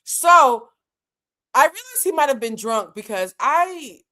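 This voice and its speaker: A woman whose first language is French tells a casual story to her viewers. She is animated and profane, and she talks straight to her audience. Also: sits high, takes it slowly. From Boston. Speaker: channel host.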